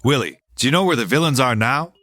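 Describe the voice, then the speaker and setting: A man's voice: medium pitch, expressive, quick pace, a bit bright, dry recording, faint music behind, lightly acted. An actor playing a square-jawed heroic man in an earnest 1930s radio serial style.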